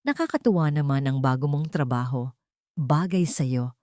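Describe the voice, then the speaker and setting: A woman's voice: a bass voice, normal speed, darkish tone, no echo, studio quality, voice pushed down. A woman voicing a spoiled, condescending rich young woman who mocks others and mixes English into her speech. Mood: mocking. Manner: mocking, condescending, Taglish-speaking.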